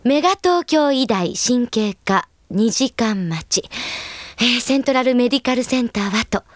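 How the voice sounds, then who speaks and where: An actress playing a caring, brisk young woman who is a loyal teammate, coaxing and animated in a Japanese anime audio drama. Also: fairly high pitch, no echo. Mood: coaxing, cheerful.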